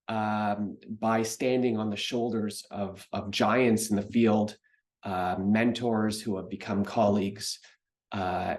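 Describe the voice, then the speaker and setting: A man's voice: on the low side, a little mellow. A Canadian man in his forties giving a recorded conference talk in a conversational way, with many ums and uhs, close to a webcam mic in a home office. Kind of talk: presentation lecture.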